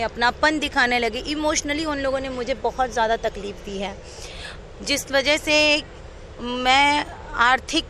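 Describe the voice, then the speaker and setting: A woman with a fairly high voice gives a detailed, earnest account in an interview. She speaks unscripted, outdoors in a city, with faint background noise. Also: bright, forceful tone. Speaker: interviewee telling her own account.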